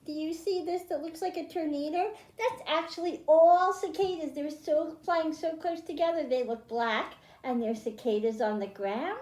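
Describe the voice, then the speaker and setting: A woman's voice: high-pitched, fairly even intonation, normal speed, hardly any pauses, darkish tone. A woman hosting a children's storytime, reading aloud and explaining science simply to young listeners. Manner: simple explanations addressed to children, with questions to them. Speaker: children's librarian and storytime host. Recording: roomy, echoing indoor sound.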